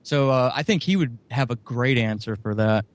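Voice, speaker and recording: A man's voice: mid-range voice, animated, moderate pace, no room sound. A man who writes and produces audio dramas, answering interview questions in a rambling way with lots of fillers.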